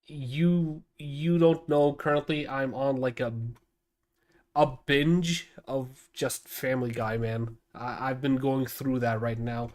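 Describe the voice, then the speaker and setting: A man's voice: medium pitch, fairly even intonation, slow pace, a little mellow. A man with a nasal voice streaming casually, thinking aloud as he edits a video, rambling with many restarts. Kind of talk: casual livestream talk while editing video.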